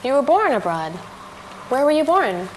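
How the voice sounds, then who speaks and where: A woman's voice: sits high, big pitch swings, normal speed, slight background noise, rough recording. A woman reading the questioner's part in a scripted practice dialogue, curious and questioning. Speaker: dialogue reader asking the questions.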